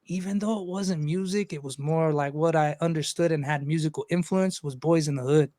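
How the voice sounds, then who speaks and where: Guest rapper, young man, loose rambling talker, a bit high-pitched, dry recording. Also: fairly quick, dark tone, dry recording. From Los Angeles. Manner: rambling, loose, swears freely. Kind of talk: casual interview chat.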